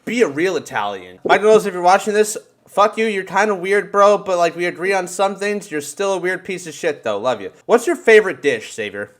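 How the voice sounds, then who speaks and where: An American man with a voice pitched a little above average hosts a casual podcast. He talks in loose slang and swears freely. His delivery is animated and conversational. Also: brisk, few pauses. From United States. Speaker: podcast host. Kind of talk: casual banter.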